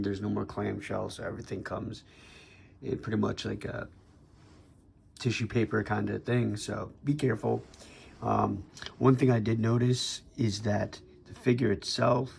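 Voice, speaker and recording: A young man with a sick, raspy voice casually reviewing a toy figure on his channel, talking in a laid-back way with lots of fillers and restarts. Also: lowish voice, a little mellow, slight background noise.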